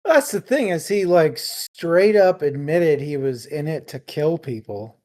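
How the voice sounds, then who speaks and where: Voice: medium pitch, animated, unhurried, a little mellow. A podcast co-host chatting informally and casually about books. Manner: informal, laughs often. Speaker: book discussion co-host. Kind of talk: casual chat, book discussion.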